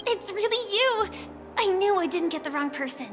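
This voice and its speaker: An actress playing a gentle young woman on the run in a video game, earnest and guarded, with a soft, measured delivery. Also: very high-pitched, expressive. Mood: friendly, eager. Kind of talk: dramatic video game voice acting. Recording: clear music behind the voice.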